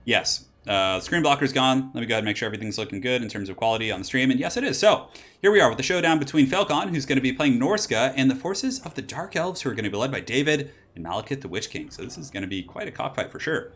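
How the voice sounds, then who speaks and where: A young man commentating a competitive strategy game on his own stream. His voice is slightly raspy, and he talks quickly in a loose, rambling way, breaking into excited exclamations at the plays. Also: medium pitch. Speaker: esports caster and channel host.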